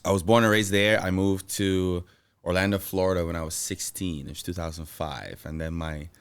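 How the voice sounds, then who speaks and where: A man, a guest telling his life story in a lively, animated way. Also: sits low, moderate pace, smooth. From Guyana. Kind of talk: casual interview.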